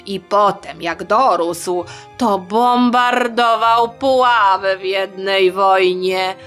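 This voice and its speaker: A woman's voice: mid-range voice, slow, a bit bright. A woman hosting a history podcast, narrating expressively as a storyteller for young listeners. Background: background music.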